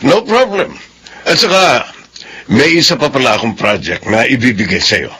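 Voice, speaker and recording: Radio drama role: boastful, jovial boss, bright and slightly husky voice. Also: male voice, medium pitch, animated, moderate pace, exaggerated voice.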